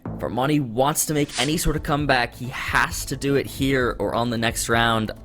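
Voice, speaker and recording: A man giving live play-by-play commentary on a competitive game, voice jumping up with excitement at big moments. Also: medium pitch, moderate pace. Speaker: esports commentator. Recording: music under the voice.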